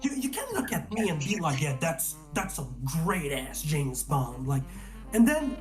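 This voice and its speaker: A man's voice: on the high side, huge pitch swings, a little slow, soft background music. A man from Canada, a guest reviewer in casual film chat, talking animatedly, often restarting sentences and swearing.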